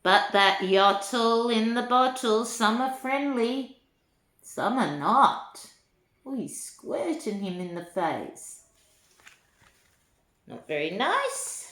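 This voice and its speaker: Older woman reading slowly, echoey room. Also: on the high side, expressive, a bit bright. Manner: unhurried, lilting, talking to young children. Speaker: grandmother reading a storybook to children. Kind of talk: read-aloud children's rhyming book with chatty asides.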